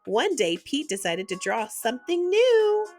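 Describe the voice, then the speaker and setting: A gentle woman who reads a children's bedtime story slowly and tenderly. Her voice is high and very lively, rising and falling as she talks to little ones, with soft music playing underneath. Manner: gentle, warm and expressive, like reading to small children. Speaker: host reading children's books. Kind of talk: bedtime read-aloud storytelling.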